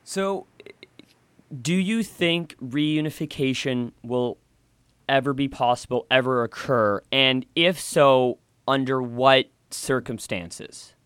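A man hosting a radio show, asking measured, polite questions and signing off the program. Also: medium pitch, fairly bright tone, smooth, dry recording, studio quality. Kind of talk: radio interview and sign-off.

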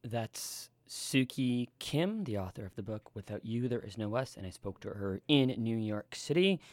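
A man hosting a radio show, asking measured, polite questions and signing off the program. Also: fairly low pitch, lively intonation, darkish tone, no room sound. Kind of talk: radio interview and sign-off.

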